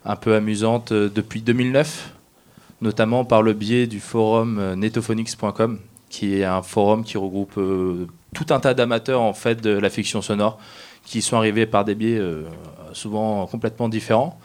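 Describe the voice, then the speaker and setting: Smooth low-voiced man, halting delivery. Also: steady tone, a little mellow. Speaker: guest, amateur audio fiction creator. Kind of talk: round-table self-introduction.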